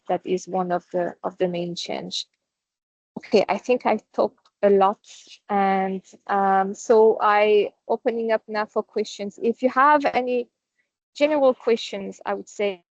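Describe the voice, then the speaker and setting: A woman's voice: mid-range voice, no room sound. A non-native English-speaking woman presenting on a company call, explaining things in a conversational, informal business style. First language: not English. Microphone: online conference call. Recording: dull, dry online call audio.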